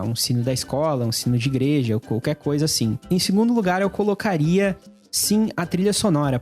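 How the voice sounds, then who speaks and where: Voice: medium pitch, a little mellow. A host with a Brazilian accent, explaining things in an informal, conversational tutorial style. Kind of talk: casual explainer to camera.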